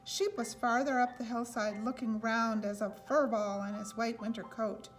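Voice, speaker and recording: A woman's voice: on the high side, flat delivery, normal speed. A woman reading a children's picture book aloud as a storyteller.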